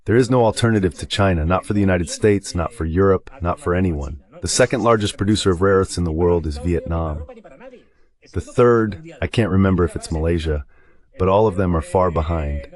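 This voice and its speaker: Very deep male commentator, emphatic. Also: normal speed, darkish tone. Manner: emphatic, repetitive, colloquial. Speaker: political commentator, AI voice-over translation. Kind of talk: conversational geopolitical commentary.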